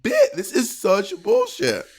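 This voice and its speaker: A man's voice: very high-pitched, wildly swooping pitch, takes it slowly, vocal fry. A man in his thirties with an American accent, co-hosting a casual chat and telling stories in an emphatic, sweary way. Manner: emphatic, profane. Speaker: podcast co-host. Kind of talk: casual storytelling and review chat. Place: indoor room at home.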